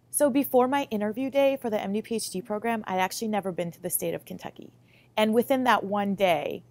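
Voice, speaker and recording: A young woman in her late twenties with an American accent speaks at a medium pitch. She answers interview questions as a student researcher, explaining things clearly and articulately. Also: a little mellow. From Lithuania, moved to the US Midwest at about eight. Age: late 20s. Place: laboratory, indoors. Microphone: lapel mic.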